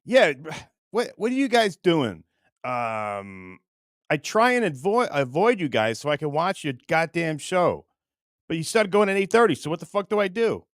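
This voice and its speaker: A man hosting a casual livestream, rambling and halting as he chats with viewers in a crude, jokey, profane way. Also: on the high side, big pitch swings, no echo, clean studio sound. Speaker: livestream host.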